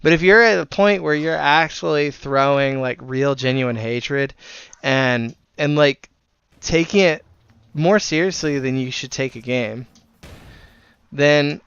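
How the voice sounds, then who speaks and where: Male streamer and group leader, crisp bright voice, earnest rambling gamer chat, swears freely. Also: on the high side, measured pace, no room sound, dull audio. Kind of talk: casual talk while playing a game on stream.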